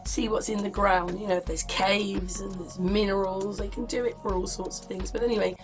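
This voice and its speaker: A woman's voice: medium pitch, fairly even intonation, fairly quick, music in the background. A woman presenting in a casual running commentary, with informal, exclamatory asides.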